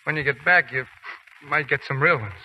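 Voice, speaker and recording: An actor in a 1940s radio play voicing a brash young soldier who talks tough and slangy. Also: male voice, medium pitch, normal speed, pushed and bright, slight background noise, poor audio. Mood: tender. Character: brash young male soldier called Kansas, tough and cocky.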